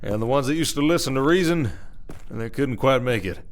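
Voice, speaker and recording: A man's voice: mid-range voice, lively intonation, a little fast, close to an everyday voice. Man acting werewolf sheriff, folksy, wry, weary, protective, audio roleplay. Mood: wry, explaining. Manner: folksy, dry-humored, rambling explanations.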